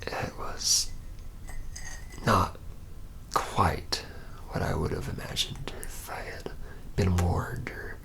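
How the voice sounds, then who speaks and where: A man playing a reluctant, nervous young vampire in a hushed ASMR roleplay, telling his story haltingly in a breathy whisper and low murmur. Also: steady tone, exaggerated voice, rough recording. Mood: rueful, reluctant. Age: young adult. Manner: halting, hesitant, trailing off.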